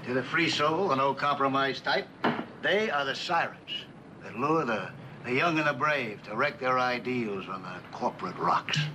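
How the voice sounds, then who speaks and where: A man's voice: mid-range voice, expressive, normal speed, natural voice. An American actor playing a jaded, sardonic middle-aged businessman in a 1960s television drama. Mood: weary sarcasm. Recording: noisy old TV film soundtrack.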